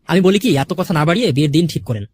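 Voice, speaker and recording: A man playing a sensible, caring elder brother in an audio story, brisk and advising. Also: on the high side, animated, a little mellow, a little hiss or hum, natural voice.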